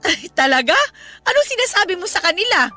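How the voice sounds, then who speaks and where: A woman's voice: extremely high pitch, very animated, brisk, sharp tone, full character voice. An actress playing a proud, loving middle-aged mother in an emotional radio drama, effusive and plain-spoken, with a Filipino accent. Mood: fond, modest. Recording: music under the dialogue.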